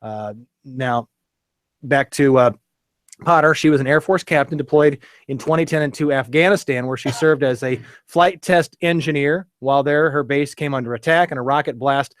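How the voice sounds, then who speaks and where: A man's voice: mid-range voice, normal speed, dry recording, clean studio sound. A man hosting a local radio show, chatting casually and reading a story aloud with many fillers and restarts.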